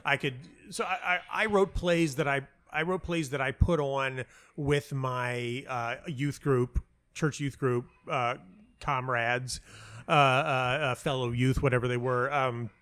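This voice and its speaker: A man's voice: medium pitch, fairly even intonation, a little slow, a bit bright. An American man speaking as an interview guest, telling his story casually and reflectively, often interrupting himself and repeating words. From United States (North Carolina). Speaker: interview guest, playwright and audio dramatist. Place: hotel lobby.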